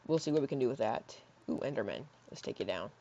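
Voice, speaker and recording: A hoarse young man in his teens rambling casually while playing a building game, with lots of fillers and soft mutters. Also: mid-range voice, sing-song, fast, slight background noise. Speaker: gaming YouTuber. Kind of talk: casual gameplay commentary. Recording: slightly dull lo-fi mic.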